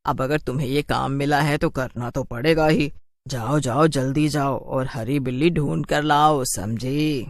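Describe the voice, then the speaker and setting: A woman's voice: very deep voice, fairly even intonation, measured pace, no echo, clean studio sound. A woman voicing a bossy, short-tempered female witch in a cartoon horror story, scolding and imperious.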